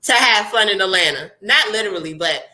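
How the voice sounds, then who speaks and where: A woman's voice: mid-range voice, brisk. Chatty congested woman hosting a live chat. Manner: chatty, animated, laughs often. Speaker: host.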